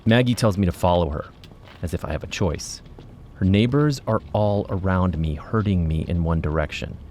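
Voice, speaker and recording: A man's voice: low-pitched, moderate pace, dark tone, a little hiss or hum, natural voice. An actor playing a wry, uneasy investigator who narrates his own case in a dry, storytelling way for a scripted podcast. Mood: wry, tense.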